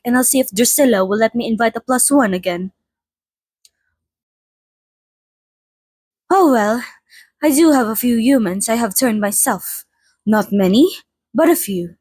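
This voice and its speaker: A woman playing a polite, chatty old vampire being interviewed in an ASMR roleplay, speaking in a slightly formal, gently wry way. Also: on the high side, dry recording. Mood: wry, gossipy, then gentle, sincere. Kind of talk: ASMR roleplay, in-character monologue to listener.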